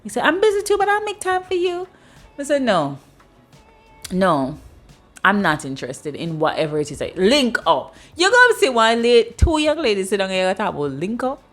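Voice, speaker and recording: A woman's voice: normal speed, faint music behind. A woman in her thirties with a Jamaican accent, hosting a casual chat and telling stories with acted-out dialogue. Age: 30s. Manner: animated storyteller, acts out dialogue in high voices. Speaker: host.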